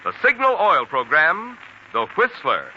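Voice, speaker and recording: A man's voice: a little high, wildly swooping pitch, measured pace, sharp tone, highs cut off. A man announcing for a radio sponsor in a polished, emphatic 1940s style. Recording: old radio recording, faint noise.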